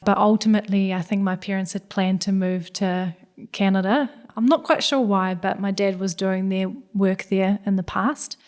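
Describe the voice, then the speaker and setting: A woman in her thirties, born in Hungary, talks with a relaxed New Zealand accent. She answers reflectively, with a flat, even pitch and medium-height voice, often pausing on "yeah" and "I guess.". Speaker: guest scientist. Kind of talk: podcast interview.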